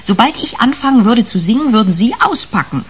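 An actress playing a brash, shrewd police detective in a radio crime drama, slangy and emphatic. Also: female voice, fairly high pitch, expressive, fairly quick, breathy edge. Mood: emphatic, sardonic.

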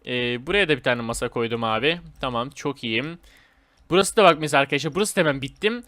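A man hosting a gaming video with casual, excited commentary, speaking in slangy, repetitive phrases and addressing viewers as friends. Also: medium pitch, fast, no echo.

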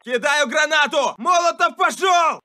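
Very high, forceful shouting Russian soldier. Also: male voice, unhurried, heavily acted. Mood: frantic shouting. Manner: forceful, urgent. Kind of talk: military game voice lines, short barks.

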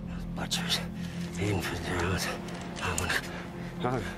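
A man's voice: deep voice, takes it slowly, very noisy. A man voicing a manic, devout mechanic sidekick in a video game, fawning and repeating himself with feverish preacher-like zeal. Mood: conspiratorial, pleading. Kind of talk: video game character acting.

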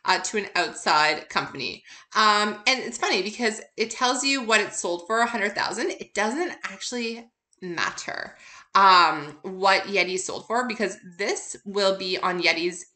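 Bright-voiced woman teaching an informal lesson. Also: medium pitch. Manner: upbeat, encouraging, addresses listeners with questions. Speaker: accounting instructor. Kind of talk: lecture narrated over slides, informal.

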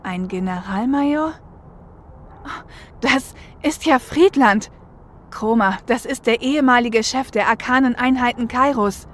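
A woman's voice: on the high side, measured pace, slight background noise, close to an everyday voice. Woman acting a seasoned female getaway driver. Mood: concerned. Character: seasoned female shadowrunner getaway driver, protective older sister. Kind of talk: audio drama acting.

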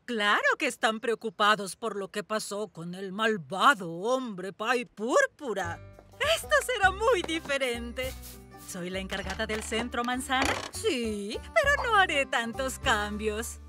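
A woman's voice: fairly high pitch, big pitch swings, unhurried, sharp tone, heavily acted. A woman voicing a genial, folksy older lady baker in a children's cartoon dub, breezy and theatrical, with a Latin American Spanish accent. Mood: breezy reassurance. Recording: music playing behind the voice.

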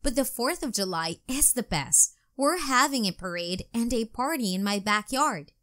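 Woman reading as an animated, excitable little storybook girl. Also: a little high, moderate pace. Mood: cheerful, eager.

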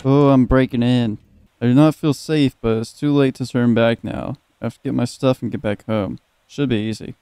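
A man's voice: mid-range voice, steady tone, normal speed, warm tone, clear, resonant tone, dry recording. A young man giving casual let's play commentary, joking and swearing and at times reading game text aloud. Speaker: gaming video host. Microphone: close, dry microphone.

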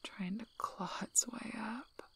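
A woman playing a guarded, gruff but caring young werewolf alpha in a soft-spoken ASMR roleplay, hesitant and often close to a whisper. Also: fairly high pitch, fairly even intonation, heavily acted. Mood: quiet, troubled. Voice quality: husky, breathy, often near whisper. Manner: hesitant, halting, understated.

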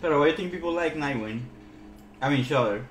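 A man's voice: fairly high pitch, animated, fast, a little hiss or hum. A young man chatting casually as an artist co-hosting a live stream. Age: young adult. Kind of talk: casual chat. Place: small room, indoors. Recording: roomy echo. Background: faint music.

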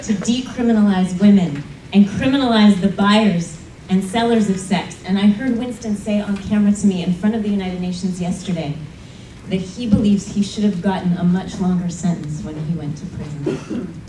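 A woman's voice: mid-range voice, steady tone, moderate pace, mellow, a little hiss or hum. A woman telling a personal story earnestly to a panel audience, with emphatic moments. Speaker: panel speaker. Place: conference room. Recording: roomy conference hall audio from a distance.

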